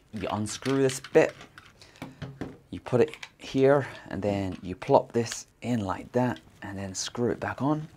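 Man, 30s, playful tech vlogger, car cabin, engine noise. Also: lowish voice. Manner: playful, jokey, excitable. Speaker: tech reviewer. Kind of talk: casual product review vlog. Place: inside a car.

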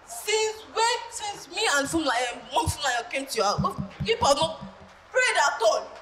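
Woman acting as a preachy pious mother, Nigerian accent, very high voice, noisy echoey hall. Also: sing-song, moderate pace, a bit bright, full character voice. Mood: reproachful. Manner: reproachful, preachy, singing snatches. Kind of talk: live stage acting. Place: church hall stage.

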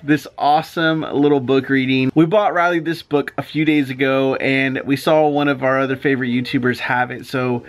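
Casual dad with a high-sitting voice. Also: male voice, steady tone, moderate pace, hardly any pauses. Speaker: father and family vlogger. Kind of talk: casual chat to camera. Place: small bedroom, lying on a bed. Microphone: handheld camera at arm's length.

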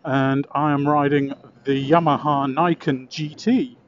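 Higher-voiced man chatting through muffled intercom. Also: steady tone, unhurried. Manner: enthusiastic. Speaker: motorcycle reviewer. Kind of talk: casual chat while riding. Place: outdoors, riding a motorcycle. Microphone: helmet intercom camera mic. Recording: muffled helmet intercom with road noise.

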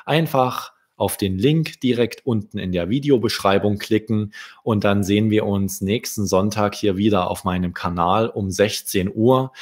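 A young man from Germany telling stories to children in a deliberate manner, as an author hosting his readings. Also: on the low side, a little mellow, husky. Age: young adult. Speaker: children's author, channel host. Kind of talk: storytelling for children. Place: small indoor room. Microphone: close desk microphone with pop shield.

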